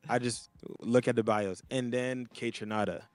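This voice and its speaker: A man's voice: medium pitch, steady tone, normal speed, no room sound, faint music behind. A man from Montreal chatting casually as a DJ co-host on a video call, rambling and loose with lots of fillers. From Montreal, Canada. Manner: laid-back, rambling, many fillers. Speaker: DJ and podcast co-host. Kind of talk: casual chat. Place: home, child playing nearby.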